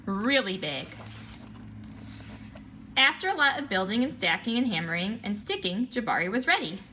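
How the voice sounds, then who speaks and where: Story time narrator: woman, higher-pitched, very expressive, reading picture books to children. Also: quick pace. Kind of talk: animated children's picture book read-aloud. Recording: faint background noise.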